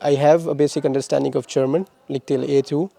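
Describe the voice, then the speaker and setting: A young man from Pakistan talks through interview questions in a halting way, often restarting and repeating words. His voice is mellow and dark, and his pitch stays flat and even. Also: gravelly. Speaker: interviewee, former master's student. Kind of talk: casual interview answers.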